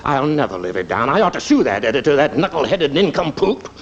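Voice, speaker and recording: A man's voice: medium pitch, big pitch swings, a little fast. An actor playing a blustery, vain doctor father in a 1950s radio sitcom, grumbling and sputtering. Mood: indignant grumbling. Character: blustery, vain small-town doctor father, easily flattered. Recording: muffled, noisy old radio recording.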